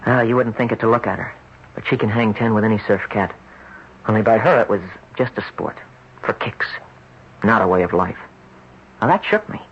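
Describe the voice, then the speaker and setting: Radio drama role: intense young beach bum who sold his soul, husky, on a dull old tape. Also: lowish voice, fairly quick, long pauses. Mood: reflective. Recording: dull, lo-fi 1970s radio recording.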